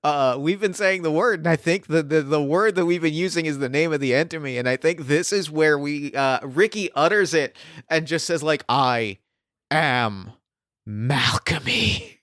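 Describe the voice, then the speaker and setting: A man's voice: a little high, moderate pace, a bit bright, no room sound, studio quality. A man acting as game master and host, guiding a casual tabletop game with enthusiasm.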